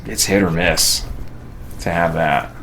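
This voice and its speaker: A man's voice: low voice, takes it slowly, bright, forceful tone, slight background noise, low-quality recording. A man, sounding middle-aged, chatting casually as he streams a video game, with a jokey, self-deprecating manner. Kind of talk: casual chat while playing a video game.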